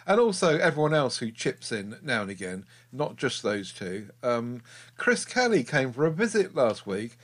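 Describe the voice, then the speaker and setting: Brief: middle-aged male host, mid pitch, relaxed jokey chat. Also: moderate pace. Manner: chatty, jokey, with filler ums. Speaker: podcast co-host. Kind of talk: casual chat.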